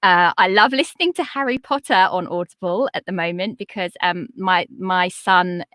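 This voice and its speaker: Brief: English woman in her forties, upbeat and encouraging guest, tense bright voice, dry close sound. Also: normal speed, dry recording. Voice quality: high-pitched. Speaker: podcast coach guest. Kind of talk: casual interview chat.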